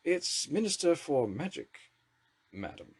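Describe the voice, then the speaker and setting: Elderly headmaster wizard, voiced by the narrator, dry and patient. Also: male voice, medium pitch, animated, normal speed, darkish tone, voice pushed up.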